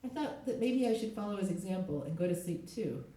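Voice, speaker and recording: A middle-aged woman, a literary translator, reading aloud at a live event, her voice hoarse with a cold. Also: mid-range voice, animated, fairly quick, warm tone. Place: echoey indoor event space. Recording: very echoey room, distant mic.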